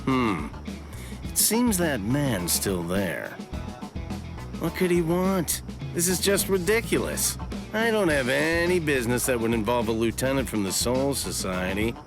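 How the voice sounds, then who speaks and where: A man's voice: mid-range voice, very animated, measured pace. A man voicing a wary, irritated character grumbling to himself in an anime game. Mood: suspicious, annoyed. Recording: music behind the voice.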